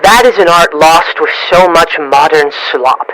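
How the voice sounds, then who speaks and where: A man's voice: a little high, unhurried, a bit bright, poor audio. A man playing a pretentious game creator who narrates to his player in a pompous, philosophical manner. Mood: lofty, solemn.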